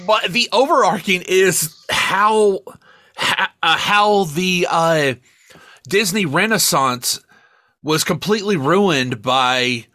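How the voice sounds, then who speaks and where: A man co-hosting a casual podcast chat, talking in an emphatic, animated way with some swearing. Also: high voice, takes it slowly, dry recording. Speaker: podcast co-host. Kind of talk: casual chat reviewing media.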